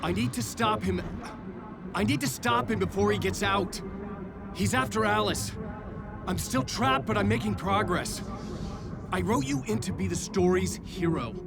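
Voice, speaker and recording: A man playing a desperate, intense writer in a video game, speaking with halting, earnest urgency. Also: high-pitched. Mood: urgent. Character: desperate, intense male writer trapped in a nightmare. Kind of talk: cinematic video game acting. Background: dramatic music.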